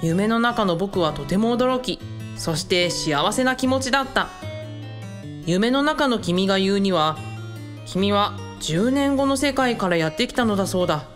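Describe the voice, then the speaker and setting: A woman playing an earnest, gentle young male student reading out his letter in measured radio drama monologue. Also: mid-range voice, expressive, natural voice. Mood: earnest, tender. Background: guitar music.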